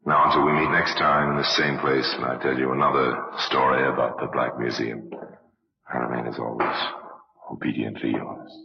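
A man's voice: extremely low pitch, flat delivery, moderate pace, gravelly. A man performing as a solemn, portentous host of an old-time radio crime anthology, stately and formal in delivery. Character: solemn, portentous male museum storyteller of crimes. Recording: dull old radio recording.

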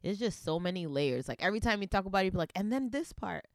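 A woman with a Newark, New Jersey accent, co-hosting a podcast and talking things through in a relaxed, reflective way. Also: medium pitch, fast talker, dry recording, studio quality. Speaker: podcast co-host. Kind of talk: casual podcast chat.

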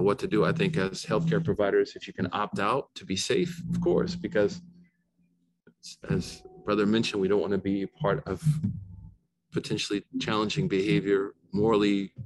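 A man's voice: lowish voice, rough recording. Man giving a casual religious lecture. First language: not Arabic. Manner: conversational, many restarts and asides. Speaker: Islamic scholar lecturing. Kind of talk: informal lecture with Q&A.

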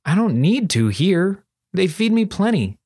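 A man's voice: high-pitched, big pitch swings, a little slow, darkish tone, slightly rough, no echo, studio quality, voice pushed up, full character voice. A man voicing a defensive, self-deprecating young wizard schoolboy who protests and mumbles, in a storybook reading. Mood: defensive, glum.

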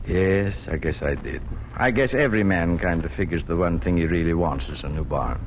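An actor in a 1940s radio drama playing a weary, gentle immigrant farmer father who talks plainly and haltingly. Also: sits low. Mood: resigned, tender. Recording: hissy vintage 1940s radio recording.

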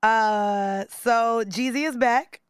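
A woman with a raspy voice co-hosting a podcast, chatting casually about new songs in a playful, hype, slangy way with frequent laughs. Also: a little high, steady tone, slow pace, no room sound, studio quality. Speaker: podcast co-host.

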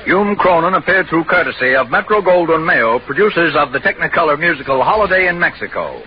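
A muffled, faintly noisy 1940s radio recording of an American man reading program credits. His voice is fairly high, with very animated intonation in the announcer style of that era. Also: fairly quick.

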